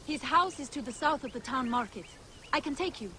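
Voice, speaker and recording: An actress playing a weary, sorrowful poor villager in a video game, plaintive and humble. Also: female voice, high-pitched, lively intonation, fairly quick, bright, forceful tone, slight background noise. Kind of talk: video game character acting.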